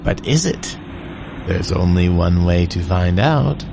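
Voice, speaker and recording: A man's voice: low voice, expressive, slow pace, vocal fry, background noise. A man hosting a show of old radio dramas, introducing an episode in a theatrical, teasing way. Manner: theatrical, teasing, sing-song delivery. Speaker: podcast host presenting old radio shows. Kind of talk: spooky playful show introduction. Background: music bed.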